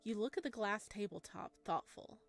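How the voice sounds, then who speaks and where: A giggly, excitable woman reading a visual novel aloud with playful, joking commentary during a let's play. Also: mid-range voice, normal speed, faint music behind. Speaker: gaming content creator playing a visual novel.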